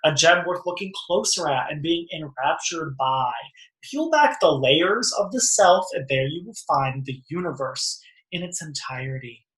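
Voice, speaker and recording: A young woman hosting a casual tarot reading, chatting in an animated, slangy, teasing and emphatic way. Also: low-pitched, moderate pace, roomy sound, low-quality recording. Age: young adult. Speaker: host and tarot reader.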